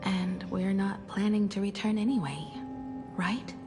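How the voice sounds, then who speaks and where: A woman's voice: mid-range voice, unhurried, music in the background, lightly acted. A woman playing a steadfast, encouraging young companion in a video game, speaking in a firm and earnest way. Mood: firm, reassuring. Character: steadfast, encouraging young female imaginary friend. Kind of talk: dramatic video game voice acting.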